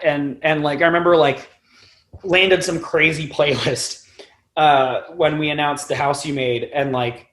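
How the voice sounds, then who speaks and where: A man's voice: on the high side, fairly bright tone. A young adult man talking as an interview guest in a casual, rambling, informal way, with some loose swearing. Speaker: band frontman, podcast guest.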